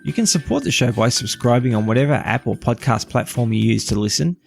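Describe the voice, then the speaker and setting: A man's voice: mid-range voice, animated, fairly quick, gravelly, faint music behind. A man hosting a podcast, reading a scripted review close to the microphone.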